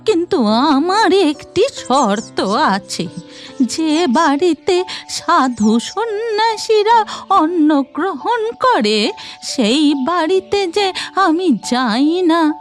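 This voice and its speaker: A woman voicing an old beggar woman in a cartoon folktale, with slow, drawn-out, deliberate speech. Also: very high voice, big pitch swings, faint music behind, voice pushed up, exaggerated voice. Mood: satisfied, firm. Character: goddess disguised as hungry old beggar woman, slow, insistent.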